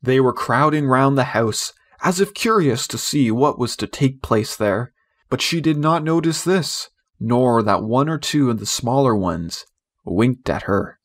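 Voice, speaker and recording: A man reading scripted literary storybook narration in a measured, expressive way. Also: mid-range voice. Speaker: audiobook narrator.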